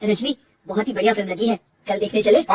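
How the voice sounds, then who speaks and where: A woman voicing a gentle, loving young husband who coaxes and pleads with his wife. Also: medium pitch, fast talker, recorded from a distance, close to an everyday voice. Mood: coaxing. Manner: coaxing, pleading.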